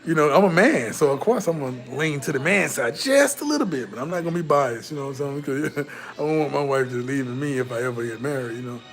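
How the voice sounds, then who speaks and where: A man holding forth in a loose vlog monologue, speaking in a mid-pitched voice. He talks bluntly with cursing and lots of "you know," swinging from loud, pushed emphasis to low, muttered asides. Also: lively intonation, quick pace, soft background music. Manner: blunt, profane, repetitive fillers. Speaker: vlogger. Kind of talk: casual commentary to camera.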